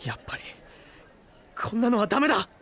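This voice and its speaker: A man's voice: high voice, sing-song, fairly quick, a crowd in the background. A male actor playing an earnest, hot-blooded young knight in an anime audio drama, speaking with sincere, idealistic conviction. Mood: urgent. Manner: impassioned, sincere pleading.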